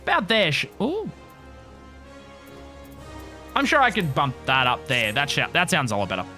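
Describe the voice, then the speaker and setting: A man's voice: on the high side, wildly swooping pitch, moderate pace, sharp tone, soft background music. A man giving casual, rambling live commentary as he streams a game, informal and sweary.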